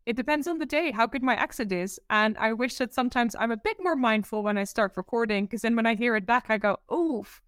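A Dutch-accented woman with a slightly high voice, chatting as a podcast guest about her work. She talks expressively and giggles often. The sound is dry and close, with no room echo. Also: fairly quick, few pauses, fairly bright tone. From Netherlands. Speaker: presentation coach, guest. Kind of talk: casual podcast interview.

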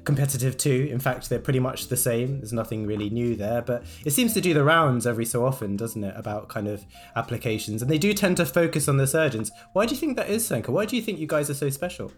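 A man co-hosting a podcast, speaking quickly as he explains points in a casual back-and-forth. Faint music plays under his voice. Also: mid-range voice, animated. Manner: fast-talking, explanatory. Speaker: podcast co-host.

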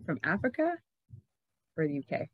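A woman's voice: low-pitched, very animated, normal speed, husky, no echo, lo-fi sound. A woman hosting a casual podcast interview, chatting in an enthusiastic way. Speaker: podcast host.

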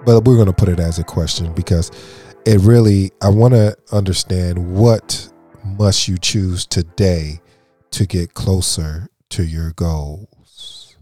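A man hosting a motivational podcast, talking straight to listeners in a mellow radio voice, calling them family and circling back over his points. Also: low voice. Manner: preachy, addresses listeners as family, repeats himself. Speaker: podcast host.

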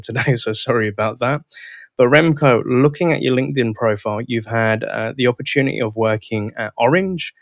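A man's voice: mid-range voice, no room sound, studio quality. A man hosting a sales podcast, enthusiastic and polite, welcoming his guest and calling him sir. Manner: enthusiastic, sing-song intro, polite "sir". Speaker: podcast host.

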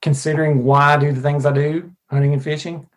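A man's voice: on the high side, flat delivery. Thoughtful Arkansas man in his fifties. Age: 50s. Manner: thoughtful and measured, with frequent pauses. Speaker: guest. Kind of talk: reflective podcast conversation.

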